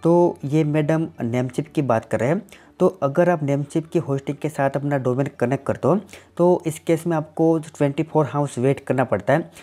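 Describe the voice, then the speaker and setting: A man's voice: a little high, moderate pace, warm tone, soft background music. Man answering viewer tech questions. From Gujarat, India. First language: Gujarati. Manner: plain explanatory, repeats "definitely" and "simply". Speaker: tech channel host.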